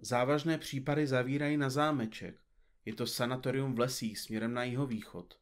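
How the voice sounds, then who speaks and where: A man acting a teasing detective sidekick in an audio drama. His voice is mid-pitched, and he speaks with wry, playful theatricality. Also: fairly even intonation, lightly acted. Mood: matter-of-fact.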